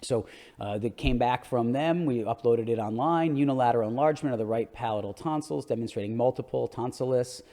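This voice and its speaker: A man's voice: mid-range voice, brisk, dark tone. A man speaking as an orthodontist coach, giving an explanatory clinical lecture in an emphatic, informal, opinionated way.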